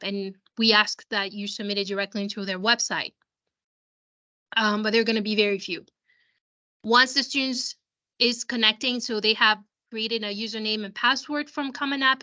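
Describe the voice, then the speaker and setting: A woman school counselor who is losing her voice, explaining a software walkthrough to parents in an explanatory way, often restarting her sentences, over a video call. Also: mid-range voice, normal speed.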